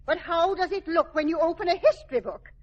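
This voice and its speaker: An actress playing a prim, easily wounded librarian in an old radio comedy, fluttery and hesitant. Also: female voice, very high-pitched, a little fast, darkish tone. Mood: indignant. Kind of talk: 1940s radio comedy acting. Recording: dull old radio recording.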